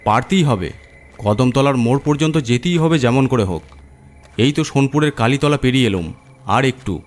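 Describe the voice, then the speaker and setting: A man's voice: medium pitch, animated, normal speed, natural voice. A man voicing a shrewd, bossy village man who scolds and commands in a horror cartoon story. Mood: urging.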